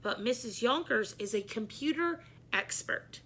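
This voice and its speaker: A woman's voice: a little high, lively intonation, measured pace, fairly bright tone, slightly rough, heavily acted. A woman voicing a corny, jolly male school principal in a children's storybook. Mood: earnest, upbeat. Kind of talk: children's book read-aloud.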